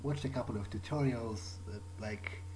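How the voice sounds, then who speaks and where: A man thinking aloud in halting, musing phrases as he roleplays through a video game. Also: medium pitch, slight background noise. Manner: musing, halting, talks to himself. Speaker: gaming video host. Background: loud game music.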